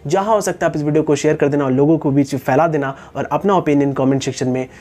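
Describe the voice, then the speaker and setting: A man's voice: fairly high pitch, steady tone, fast, a little mellow. A man in his twenties with an Indian accent, explaining things directly to his viewers as a YouTube host. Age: 20s. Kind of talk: explanatory talk to camera. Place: indoors, small room against a wall. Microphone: lapel mic.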